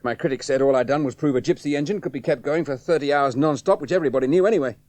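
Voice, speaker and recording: A man's voice: a little high, racing, darkish tone, lightly acted. An actor playing a charming, hard-drinking young male pilot in a radio drama, breezy and teasing. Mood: offhand. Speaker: aviator. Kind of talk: 1970s radio drama acting.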